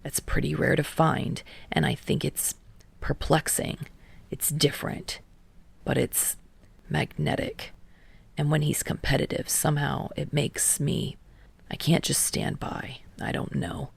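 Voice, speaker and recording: A sardonic young man played by a woman narrator in an audiobook story, muttering low with heavy creak. He is terse and halting, grudging every word in a breathy deadpan. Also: heavily acted. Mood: reluctant, defensive. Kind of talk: fan fiction audiobook dialogue.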